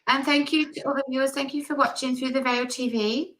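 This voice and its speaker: A woman's voice: high-pitched, steady tone, brisk, fairly bright tone, far from the mic, slight background noise, rough recording. A woman host closing a show with a cheerful sign-off.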